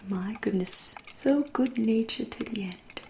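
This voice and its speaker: A woman playing a centuries-old vampire in an ASMR roleplay, with an English accent, a soft breathy half-whisper and a genteel, teasing, politely menacing manner. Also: a little high, noisy background. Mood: polite, intrigued. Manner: slow, deliberate, politely menacing.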